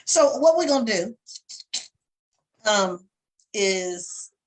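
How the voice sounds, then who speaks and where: A woman's voice: medium pitch, animated, drawn-out words, low-quality recording. A middle-aged woman co-hosting a casual talk show, teasing and laughing often as she chats. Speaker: co-host, counseling coach. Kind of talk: casual podcast chat.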